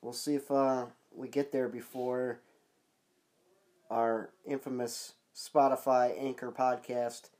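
Male podcast co-host, casual, nasal and stuffed up, mid-pitched, rambling delivery. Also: flat delivery, takes it slowly, darkish tone. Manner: rambling, loose, many fillers and restarts.

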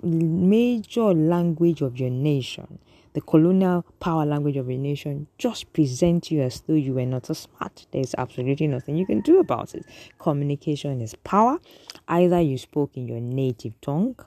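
A woman's voice: sits low, lively intonation, moderate pace, dark tone, no room sound. A Nigerian woman giving an earnest, lecturing opinion monologue to an audience, often restarting her sentences.

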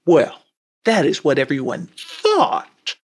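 A small, friendly boy fish from a storybook, cheerful and chatty, as a woman reading aloud puts him on. He talks slowly with a lively, bouncing pitch and a slightly breathy tone. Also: heavily acted. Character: small, friendly male red fish with spiky fins.

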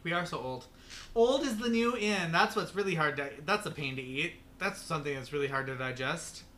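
A man's voice: on the high side, fairly quick, sharp tone, slight background noise. A young man co-hosting a casual podcast, rambling with lots of fillers and swearing freely. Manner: loose, swears a lot, rambling with many fillers. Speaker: podcast co-host.

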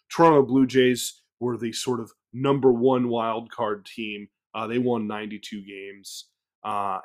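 A man's voice: on the low side, a bit bright. A man hosting a baseball podcast, recovering from a cold, recapping games in a loose, rambling way full of fillers and restarts. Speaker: podcast host. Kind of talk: casual baseball recap talk.

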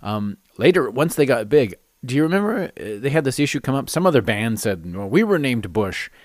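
A man's voice: medium pitch, fairly quick, no room sound. Chatty middle-aged man, close dry sound. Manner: animated, self-amused, chatty, laughs through stories. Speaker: podcast host. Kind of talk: casual solo storytelling and ranting.

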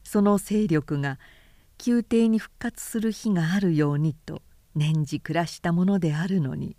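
A woman narrator reading classic literature aloud in a measured, careful audiobook delivery. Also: fairly low pitch, dark tone, no echo.

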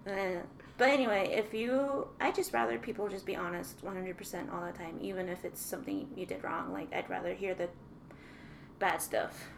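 A young woman talking on a casual podcast, with a gravelly fry running through her voice. She speaks softly and earnestly. Faint hiss lies under the recording. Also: mid-range voice, fairly even intonation, moderate pace. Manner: earnest, soft-spoken, laughs often. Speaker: podcast co-host. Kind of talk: casual chat. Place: small room, mic on table. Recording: faint background noise.

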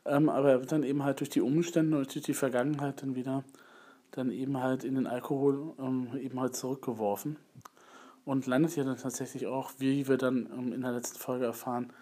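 A man's voice: medium pitch, little pitch movement, brisk, mellow. A man with a slight cold giving a rambling, hesitant spoken review in a casual monologue. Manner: rambling, hesitant, repeats filler phrases. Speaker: video blogger.

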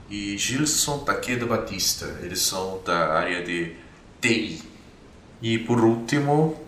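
A man, running a tabletop game in a measured, explanatory way. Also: lowish voice, pushed and bright, echoey room, recorded from a distance, a little hiss or hum. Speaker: game master. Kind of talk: narrating a tabletop game and voicing a vampire.

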